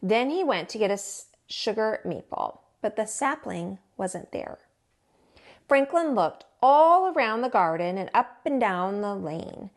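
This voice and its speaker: A woman, a teacher, reading a children's storybook aloud in an expressive way and acting out the dialogue. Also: medium pitch, unhurried. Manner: animated, acts out the dialogue. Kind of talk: expressive children's picture-book read-aloud.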